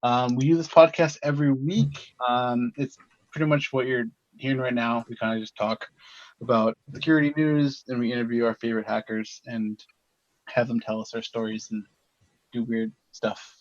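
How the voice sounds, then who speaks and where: A man's voice: medium pitch, fairly even intonation, moderate pace, no room sound. Podcast host, man, chatty and upbeat, dry dull lo-fi sound. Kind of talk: casual chat.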